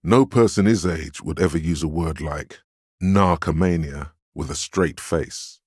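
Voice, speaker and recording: A man playing a stern, weary, protective inner voice of a detective in a video game, with measured, grave, dryly sardonic delivery. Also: a little mellow, dry recording, studio quality, heavily acted. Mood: grave, reasoning. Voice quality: very deep, smooth, resonant. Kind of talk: scripted game dialogue and narration.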